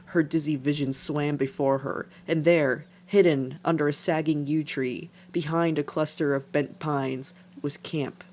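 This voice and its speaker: A woman's voice: low-pitched, steady tone, unhurried, hardly any pauses, a little mellow, a little hiss or hum. A woman reading scripted fiction as a dramatic narrator. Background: music.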